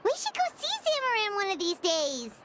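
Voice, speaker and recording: A woman's voice: extremely high pitch, huge pitch swings, moderate pace, heavy background noise, heavily acted. A woman voicing a small, cheeky, chatty fairy companion in a video game, perky and exclamatory. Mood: excited. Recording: loud game music behind.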